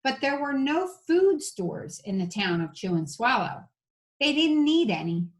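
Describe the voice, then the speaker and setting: A woman's voice: high voice, sing-song, unhurried. A woman reading a children's storybook aloud like an elementary teacher, with casual asides to the listeners.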